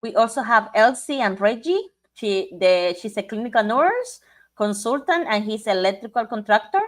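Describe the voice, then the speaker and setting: A woman's voice: on the high side, expressive, normal speed. A woman from Cuba co-hosting a talk show, speaking plainly through lists of names. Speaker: podcast co-host.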